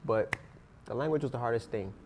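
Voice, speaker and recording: A man's voice: medium pitch, fairly quick, a little mellow, slight background noise. A teenage boy who speaks English as a second language, answering interview questions in an earnest, rambling way with fillers. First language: not English. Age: teens. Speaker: interviewee, student actor.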